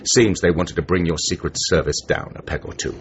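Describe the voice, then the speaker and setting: An actor playing a smug Soviet assassin who poses as a chummy English gentleman, taunting in clipped, mock-genteel slang in a radio drama. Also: sits low, steady tone, a little fast, lightly acted. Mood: coolly menacing. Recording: noisy, muffled radio broadcast recording.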